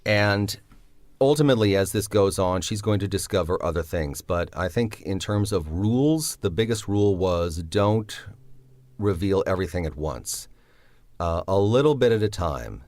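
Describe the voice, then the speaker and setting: A man with a low voice explains in an interview how he shaped his story. He speaks thoughtfully and pauses with fillers. The recording is dry and close. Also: expressive, darkish tone, dry recording. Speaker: series writer and creator. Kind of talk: interview answer.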